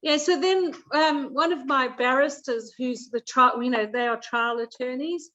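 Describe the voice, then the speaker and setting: A woman's voice: high-pitched, steady tone, unhurried, fairly bright tone. A middle-aged woman, chatting casually and jovially as a guest cooking coach. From Zambia, later Australia. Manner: jovial, often chuckles. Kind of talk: casual chat, personal storytelling. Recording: dull lo-fi video call audio.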